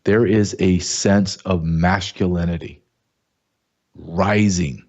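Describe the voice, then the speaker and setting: A man's voice: deep voice, fairly even intonation, slow pace, a little mellow, muffled recording. A man hosting his own talk show, speaking emphatically and often restarting and repeating words. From American South. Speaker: show host. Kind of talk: casual commentary monologue.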